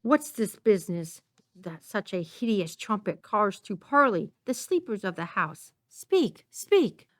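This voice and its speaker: A woman's voice: medium pitch, very animated, a little slow, a bit bright, slight background noise. A woman playing a scheming noblewoman who feigns shock, in an amateur Shakespeare reading. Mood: demanding.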